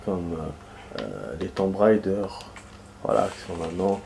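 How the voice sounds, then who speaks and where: A man's voice: deep voice, very animated, slow, vocal fry, mellow, a little hiss or hum. Nasal-sounding man with a cold chatting while gaming. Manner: rambling, many fillers and restarts. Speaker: gaming video host. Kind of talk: casual gameplay commentary.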